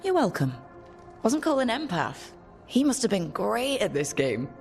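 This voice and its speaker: A woman's voice: medium pitch, sing-song, moderate pace, darkish tone, soft background music. A woman playing a curious, wry adventurer and leader in a fantasy video game, with a dry conversational manner. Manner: dry, inquisitive.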